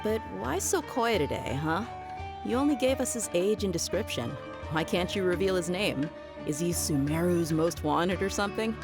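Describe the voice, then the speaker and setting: Game casting: a very low-voiced female sellsword, confident, breezy and teasing, music underneath. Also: lively intonation, normal speed. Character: confident, easygoing female desert mercenary. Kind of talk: video game voice acting. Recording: music behind the voice.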